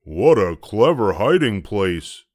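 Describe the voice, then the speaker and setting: Man doing kindly, lively farm animal. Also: on the low side, slow pace, no echo, studio quality, exaggerated voice. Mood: admiring. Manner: exclaiming, reassuring. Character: kindly, encouraging farm animals: cow, horse, duck, sheep.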